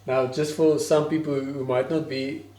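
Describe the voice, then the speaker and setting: A man's voice: medium pitch, flat delivery, moderate pace, warm tone, echoey room. A man hosting a home interview, asking questions in a hesitant, searching way. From South Africa. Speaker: host. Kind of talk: casual interview. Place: his own house.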